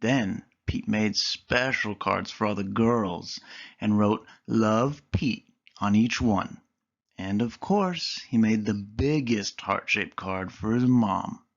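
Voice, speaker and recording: A man reading a children's picture book aloud with expressive storytelling. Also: fairly low pitch, slow pace, creaky, dull audio.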